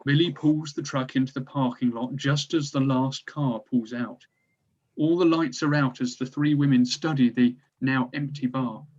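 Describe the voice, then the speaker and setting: A man's voice: medium pitch, steady tone, moderate pace, darkish tone. A man narrating scripted stage directions at a table read. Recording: slightly dull, lo-fi recording.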